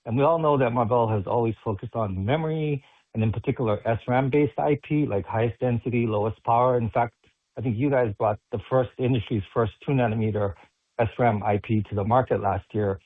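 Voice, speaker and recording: A man's voice: mid-range voice, a little fast, dry recording. A man speaking as a financial analyst, putting a detailed, prepared question on an earnings call and checking in as he builds it up. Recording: dry, narrow phone line.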